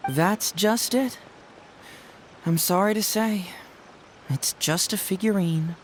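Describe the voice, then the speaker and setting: A woman's voice: medium pitch, slow, slight background noise, close to an everyday voice. A woman voicing a cocky, clever young male detective in a game, glib and playful. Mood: reassuring. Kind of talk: game cutscene voice acting.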